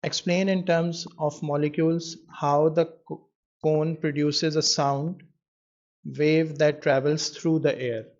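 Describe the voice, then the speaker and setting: A man tutoring in physics, explaining an exam question in a measured way with pauses as he writes. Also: fairly high pitch, steady tone, darkish tone. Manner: measured, pausing while writing out answers. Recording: dull lo-fi microphone sound.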